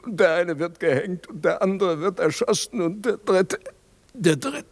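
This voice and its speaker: A man playing a mutilated, dying partisan in a radio drama, gasping out halting, broken fragments in horror. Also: high voice. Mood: agonised, horrified. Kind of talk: 1950s German radio drama acting.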